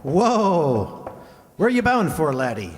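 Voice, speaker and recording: Man acting a high, lively, hearty cart driver. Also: slow. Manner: hearty, calling out. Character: friendly passing cart driver, hearty and folksy. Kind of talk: children's radio play acting. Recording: reverberant hall, slightly noisy.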